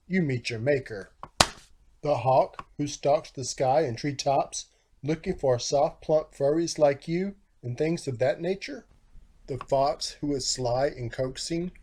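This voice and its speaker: A man's voice: medium pitch, a little mellow, lightly acted. A man voicing a fretful, protective mother hen in a storybook, with dramatic, emphatic rhyming warnings.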